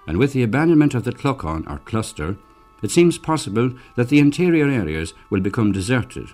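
A man narrates a documentary from a script, measured and formal. His voice is lowish but very animated in pitch, with faint music behind him.

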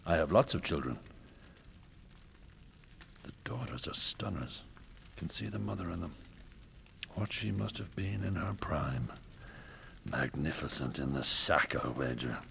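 A man's voice: very deep voice, lively intonation, measured pace, vocal fry, long pauses, bright, forceful tone, slight background noise, heavily acted. An actor playing a brutal, arrogant middle-aged warrior king, narrating his coarse, boastful thoughts in the first person for a radio drama. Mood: callous. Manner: coarse, boastful, cold inner monologue.

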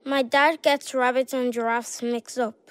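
A woman's voice: sits high, fairly even intonation, measured pace, clear, resonant tone. A woman playing a friendly, curious, chatty young child in a children's audio drama, polite and matter-of-fact. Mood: chatty, explaining.

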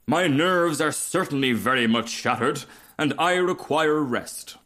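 A man's voice: fairly high pitch, measured pace, sharp tone, voice pushed up. A man voicing a vain, easily offended firework rocket in a storybook, speaking in a haughty, formal, self-important manner. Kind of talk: storybook character reading.

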